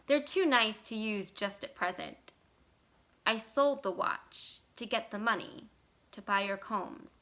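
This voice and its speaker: A woman reads a fond young husband from a storybook in a bright voice. He is a little dazed, plain-spoken and reassuring. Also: medium pitch, lively intonation, slow pace, heavily acted. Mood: calm, fond. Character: slow, dazed but affectionate young husband. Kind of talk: dramatized short story reading.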